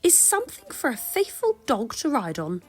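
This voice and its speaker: A woman voicing an inventive, determined woman cyclist in a storybook, musing to herself in slow, emphatic, drawn-out phrases. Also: high-pitched, wildly swooping pitch, slightly rough, faint music behind, voice pushed up, full character voice. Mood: musing, decisive.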